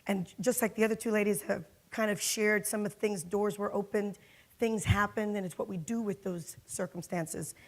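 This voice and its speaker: A woman's voice: mid-range voice, moderate pace. A middle-aged woman from Miami speaking on a conference panel, telling her career story with lots of fillers. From Miami, Florida. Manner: lively storytelling with frequent fillers and laughs. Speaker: panelist, corporate security executive. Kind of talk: panel talk. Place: conference hall.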